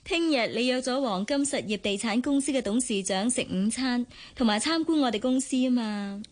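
High-voiced gentle young secretary played by a woman. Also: normal speed, natural voice. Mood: considerate. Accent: Hong Kong Cantonese. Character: gentle, considerate young female secretary with romantic ideals. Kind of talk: Cantonese radio drama acting.

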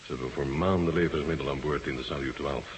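Very deep-voiced calculating Russian fugitive. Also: male voice, fast. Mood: reassuring. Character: Gorotjov, calculating male Russian fugitive, calm, fatherly. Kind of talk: Dutch radio drama acting. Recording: noisy old radio play recording.

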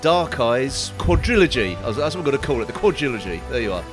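A man's voice: mid-range voice, big pitch swings, quick pace, over music. Friendly man on a podcast. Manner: friendly, laughs easily, a little hesitant. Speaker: podcast co-host. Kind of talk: casual podcast chat.

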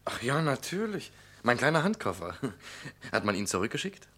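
An actor playing an affable, excitable man under suspicion, speaking emphatically in a mid-century German radio crime play. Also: medium pitch, moderate pace, slightly rough, exaggerated voice. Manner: protesting, emphatic, hesitating when pressed.

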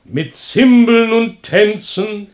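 A high, jubilant chorus leader. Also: male voice, slow pace, crowd noise. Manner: exultant chanting. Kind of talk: theatrical radio play acting of classical comedy.

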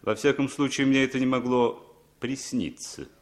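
An actor playing a smooth, sly foreign businessman in radio drama, insinuating and theatrical. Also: medium pitch, moderate pace, natural voice. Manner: suave, insinuating, theatrically expressive. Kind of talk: 1960s radio drama acting.

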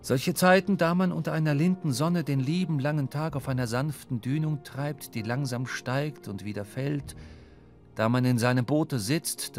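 A man with a medium-pitched voice narrates a literary sea tale for radio. Faint music runs behind his storytelling.

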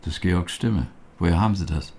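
An actor playing a younger brother, talking earnestly and probing for answers in a radio drama. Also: male voice, low voice, brisk, darkish tone, husky.

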